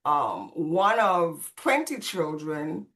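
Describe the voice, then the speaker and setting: Woman from the caribbean who grew up speaking spanish, lowish voice, expressive chatty storytelling. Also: drawn-out words. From Caribbean, born on Saint Croix, raised in Puerto Rico. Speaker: podcast guest. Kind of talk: conversational life storytelling.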